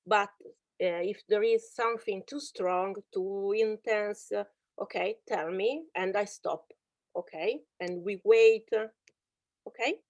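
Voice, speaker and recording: A woman's voice: mid-range voice, takes it slowly, darkish tone, no room sound. A woman hosting a video-call webinar, searching for English words with many fillers and translating for others. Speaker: webinar host and interpreter. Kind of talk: casual video-call presentation.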